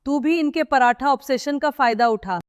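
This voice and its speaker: A cheeky young woman, voiced by a woman, gives confident advice in a high voice. The dry sound trails into a long reverb tail. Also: moderate pace, lightly acted. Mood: advising. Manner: bold, advising.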